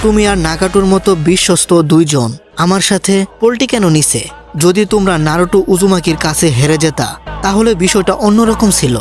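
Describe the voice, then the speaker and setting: Man acting a scheming old villain over loud music. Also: high voice, fairly quick. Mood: wounded, threatening. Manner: teasing, menacing, boastful. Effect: voice changer. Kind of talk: comic amateur anime parody dub.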